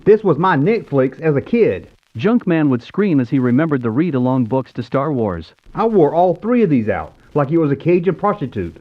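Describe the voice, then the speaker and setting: A man's voice: on the high side, very animated, moderate pace. An American man in his fifties narrating nostalgically in a scripted storybook style. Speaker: YouTube show host. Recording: tinny phone-like narrow-band sound.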